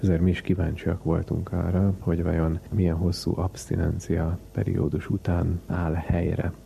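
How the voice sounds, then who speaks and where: Deep, very gravelly man, dark voice. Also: little pitch movement. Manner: detailed, methodical explaining. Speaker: researcher being interviewed. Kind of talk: explanatory science interview.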